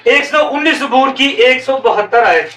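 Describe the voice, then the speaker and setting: A man's voice: extremely high pitch, lively intonation, a bit bright, slight background noise. A male pastor with a Pakistani Urdu accent delivering a sermon, stretching and stressing his phrases as he preaches. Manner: preaching, drawn-out emphatic phrases. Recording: echoey room, voice from some distance.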